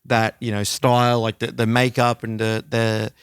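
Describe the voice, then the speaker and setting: A man co-hosting a podcast, chatting casually and giving animated opinions with some casual swearing. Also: mid-range voice, moderate pace, clean tone, dry recording, studio quality. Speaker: podcast co-host. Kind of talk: casual music chat.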